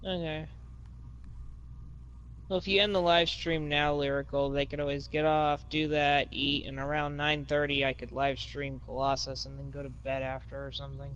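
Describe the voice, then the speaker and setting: A man's voice: on the high side, flat delivery, normal speed, a bit bright, clean tone, lo-fi sound. A man joking and rambling casually with friends in a party chat.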